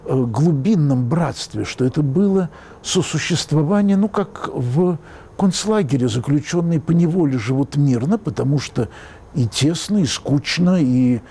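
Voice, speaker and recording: A man's voice: a little high, animated, a little slow, warm tone, breathy edge. A man interviewing, asking long, elaborate questions.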